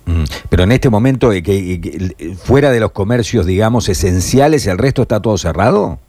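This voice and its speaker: A man's voice: on the low side, animated, fairly quick, warm tone, dry recording. A man, a radio host asking conversational questions. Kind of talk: radio interview.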